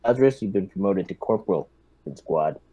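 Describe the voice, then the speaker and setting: Man playing a curt, mellow-voiced marine lieutenant. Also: deep voice, expressive, fairly quick, breathy edge, no echo, muffled recording. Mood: curt, official. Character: curt male marine lieutenant handing out promotions.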